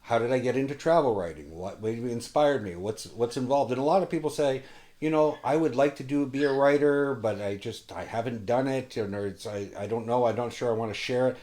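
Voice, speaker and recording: A man hosting a casual interview, enthusiastic and halting, often repeating his words as he goes. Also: medium pitch, dark tone. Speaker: interview show host.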